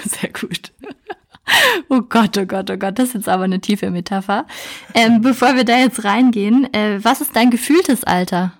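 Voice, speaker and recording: A woman's voice: medium pitch, normal speed. A woman in her early thirties hosting a podcast, chatting casually and laughing often as she asks reflective questions. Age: 30s. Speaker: podcast host.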